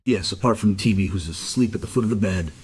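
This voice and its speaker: A man's voice: fairly low pitch, fast talker, a little mellow, husky. An actor playing a lonely, evasive man in his seventies, rambling politely under questioning in an audio crime drama. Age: 70s.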